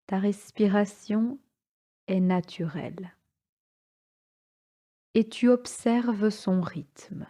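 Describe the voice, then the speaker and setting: Very slow, mellow woman guiding relaxation. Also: medium pitch. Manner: calm, soothing, unhurried pauses between short phrases. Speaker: yoga nidra instructor. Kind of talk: guided relaxation meditation.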